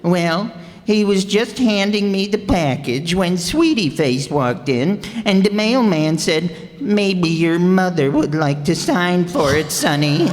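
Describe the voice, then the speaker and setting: A woman's voice: lowish voice, lively intonation, slow. A woman playing a meek, mischievous henpecked little man who talks primly in an old-time radio comedy. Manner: prim, timid, giggly. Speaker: radio player in a live re-enactment. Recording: echoey hall stage recording.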